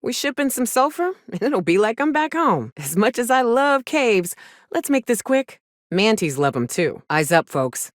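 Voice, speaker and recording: An actress voicing a wry, plain-spoken frontier huntress with a folksy, sardonic manner in a video game. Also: female voice, on the high side, big pitch swings, normal speed, no room sound, clean studio sound. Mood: wry, grossed out. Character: gruff, wry frontier woman, monster hunter and loyal companion. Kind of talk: video game companion dialogue.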